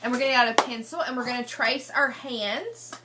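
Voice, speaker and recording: A woman's voice: fairly high pitch, fairly quick, pushed and bright, slight background noise. A woman reading a picture book aloud as a teacher, prompting children with questions and checking they follow.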